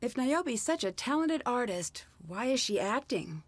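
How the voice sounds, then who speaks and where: A woman's voice: on the high side, sing-song, a little slow, no room sound. A woman playing an inquisitive, composed young female amateur detective in a video game, polite and probing.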